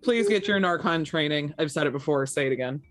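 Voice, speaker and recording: A woman's voice: sits low, lively intonation, a little fast, dry recording, soft background music. An American woman talking casually and candidly, with some swearing, in a debrief after a tabletop roleplaying game.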